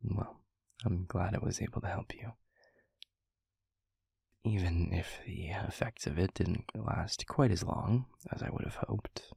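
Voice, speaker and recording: A man playing a gentle, thoughtful demon mentor in an intimate audio roleplay, speaking softly in a breathy, half-whispered voice with measured, hesitant pauses. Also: low-pitched, no echo, clean studio sound, heavily acted. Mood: tender, hesitant.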